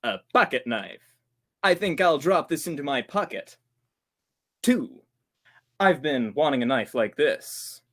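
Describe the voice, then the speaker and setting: A man's voice: fairly high pitch, big pitch swings, unhurried, pauses often, a bit bright, full character voice. A man playing an easygoing, wisecracking radio actor in a 1940s-style radio play. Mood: distracted, casual. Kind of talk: old-time 1940s radio play acting.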